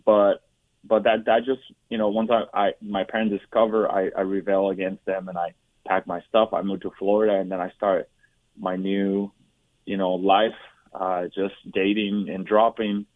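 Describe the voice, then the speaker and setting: Low-voiced man from Puerto Rico, phone-line sound. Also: level tone, no echo. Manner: halting, many restarts and fillers, candid. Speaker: guest. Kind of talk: personal testimony interview. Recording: dry, tinny phone-like line.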